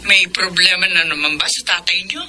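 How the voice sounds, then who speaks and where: A woman's voice: fairly low pitch, steady tone, fairly quick, bright, forceful tone, a little hiss or hum. An actress playing a long-suffering middle-aged mother in a Filipino radio drama, emotive and exasperated. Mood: weary, concerned.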